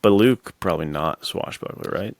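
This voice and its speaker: A man's voice: sits low, lively intonation, normal speed, creaky, no room sound. A man co-hosting a podcast, chatting casually in a laid-back, slangy, agreeable way. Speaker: podcast co-host.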